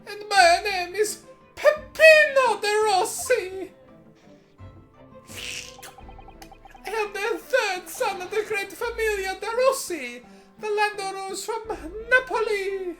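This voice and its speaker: Woman doing a shrill, excitable Italian nobleman sidekick. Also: a little slow, over music. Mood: tearful, boastful. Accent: put-on Italian. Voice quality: high, loud, sing-song. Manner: theatrical, exclamatory, flattering.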